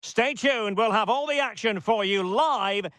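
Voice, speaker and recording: A man's voice: sits high, a little slow, fairly bright tone. A man playing an eager TV football commentator in a video game, giving dramatic, scripted pre-match build-up. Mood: building excitement. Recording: stadium ambience and noise behind.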